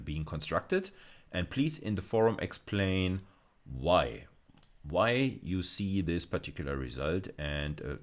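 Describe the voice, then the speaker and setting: A middle-aged man giving an explanatory screencast lecture in an informal way, thinking aloud and correcting himself. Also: a bass voice. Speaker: university lecturer. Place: indoors, recorded screencast with camera inset.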